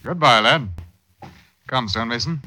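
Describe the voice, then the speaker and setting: An actor playing a stern, sly police captain of old London in a radio drama, deliberate and wry, gloating over his prey. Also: medium pitch, lightly acted. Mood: gloating, commanding. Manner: deliberate, wry, addresses others as lad. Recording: noisy old radio recording.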